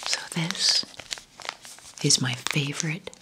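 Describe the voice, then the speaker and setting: A woman whispering gently through an ASMR question-and-answer video, talking fondly and slowly with soft fillers. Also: low-pitched. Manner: slow, gentle, affectionate rambling with fillers. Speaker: ASMR creator.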